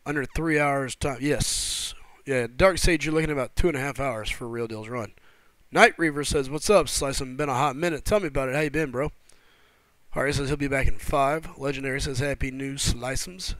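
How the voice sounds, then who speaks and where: A man's voice: mid-range voice, moderate pace, a bit bright, no echo. A man hosting a live game stream, chatting casually and reading viewers' messages aloud, his throat sore from a cold. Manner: laid-back, greets viewers by name as buddy and brother. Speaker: livestream host.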